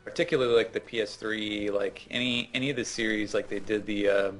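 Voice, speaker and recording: A man's voice: fairly low pitch, steady tone, fairly quick, soft background music, low-quality recording. A man in casual gaming chat, explaining things as a co-host in a hesitant way, circling back over his phrases. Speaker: gaming co-host giving tips.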